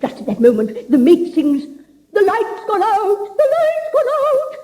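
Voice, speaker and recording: An actress playing a fussy, theatrical music mistress in a 1960s radio sitcom, talking in a gushing, fluttery way. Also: female voice, very high-pitched, wildly swooping pitch, unhurried, warm tone, heavily acted.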